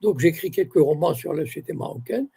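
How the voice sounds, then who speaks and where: An older man from Morocco talks as an interview guest, weighing each phrase and correcting himself as he goes. His voice is gravelly and husky, with a dark, mellow tone that sits a little high for a man. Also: expressive. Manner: measured, searching for words, self-correcting. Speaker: author and university academic. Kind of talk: interview guest, reflective talk.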